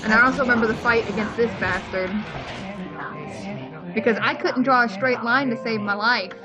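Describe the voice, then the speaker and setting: A woman's voice: a little high, moderate pace. A woman co-hosting a let's play with casual, chatty commentary on a game, on a dull lo-fi recording. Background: game music.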